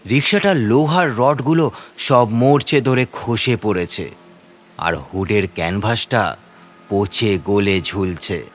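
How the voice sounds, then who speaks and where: A man's voice: fairly low pitch, slow. A man narrating a scripted horror story in the first person, with a suspenseful, theatrical delivery.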